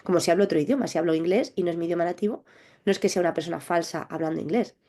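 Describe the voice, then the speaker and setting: A woman sexologist answering interview questions, explaining in a measured, thoughtful way with small restarts. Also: on the low side, mellow. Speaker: clinical sexologist guest.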